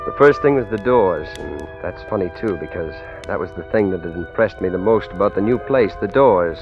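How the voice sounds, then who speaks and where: An actor playing a brooding, henpecked husband with murder in mind in a 1940s radio suspense play, subdued and hesitant. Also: male voice, low-pitched, animated, brisk. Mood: brooding, confessional. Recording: dull old radio recording, music underneath.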